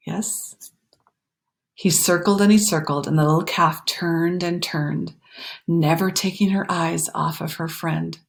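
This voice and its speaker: A woman reading a children's picture book aloud in an expressive, animated way, pausing for emphasis and talking to young listeners. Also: fairly low pitch, creaky. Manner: animated, explanatory, pausing for emphasis and dropping to hushed phrases. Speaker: storytime reader.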